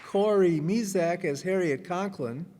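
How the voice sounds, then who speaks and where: A man announcing in a measured, presentational way, reading formal credits from a stage. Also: sits high, a crowd in the background. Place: live stage in a hall. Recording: reverberant hall, audience noise and hiss.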